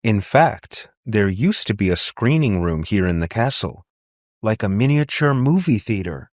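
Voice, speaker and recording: A man's voice: fairly low pitch, lively intonation, measured pace, no echo, clean studio sound. A man voicing a conciliatory aunt in an audiobook, tentative and anxious to connect. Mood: trying casual. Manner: tentative, placating. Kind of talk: audiobook character dialogue.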